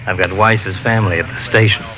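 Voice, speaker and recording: A man's voice: on the low side, fairly even intonation, brisk, lightly acted. An actor playing a businesslike, weary male police lieutenant in an old radio detective drama. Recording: dull, hissy old radio recording.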